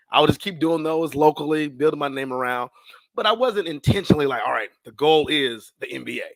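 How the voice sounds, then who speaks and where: An American man in his thirties tells stories as a podcast guest. His pitch sits in the middle and the sound is dry, with no room on it. He talks casually and in slang, voicing his own past thoughts and quick exchanges as he goes. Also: fairly bright tone, no room sound, low-quality recording. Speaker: podcast guest, sports public address announcer. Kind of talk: casual storytelling in an interview.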